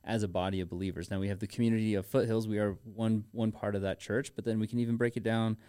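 A man co-hosting a podcast in casual banter, with a teasing, informal manner and halting phrasing. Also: on the low side, fairly even intonation, mellow, smooth. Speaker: podcast co-host.

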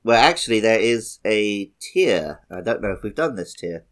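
A man's voice: fairly low pitch, animated, moderate pace, fairly bright tone. A man co-hosting a podcast, chatting casually about a video game. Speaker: podcast host.

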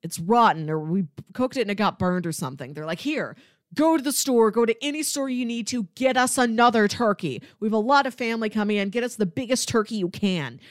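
A woman co-hosting a podcast in casual film chat, animated and given to acting out imagined dialogue. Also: a little high, brisk, no echo, clean studio sound. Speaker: podcast co-host.

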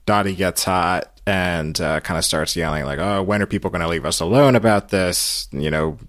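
A man hosting a podcast, giving casual recap commentary in short, halting phrases. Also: low-pitched, a bit bright, no room sound, studio quality. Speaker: podcast host.

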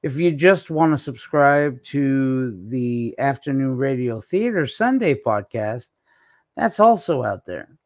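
Slow-talking man hosting a podcast. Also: mid-range voice, animated, dry recording, studio quality. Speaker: podcast host. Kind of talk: casual podcast announcements.

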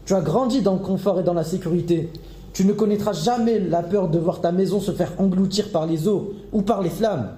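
A man voicing a desperate male intruder who shouts and accuses, speaking slowly and heavily. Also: high-pitched, roomy sound, voice pushed up, close to an everyday voice. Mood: furious. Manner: shouting, accusing, slow and heavy.

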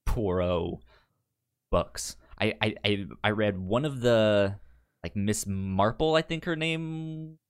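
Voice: mid-range voice, slow pace, clear, resonant tone. A podcast co-host chatting casually about films, often stammering and repeating words and syllables. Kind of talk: casual film podcast chat.

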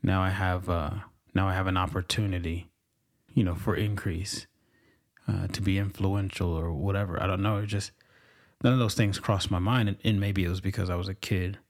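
A man with a very low, deep voice talks through his faith in a slow, reflective monologue. He muses aloud, pausing and restarting his sentences as his thoughts form. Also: steady tone. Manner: musing, halting, many restarts and fillers.

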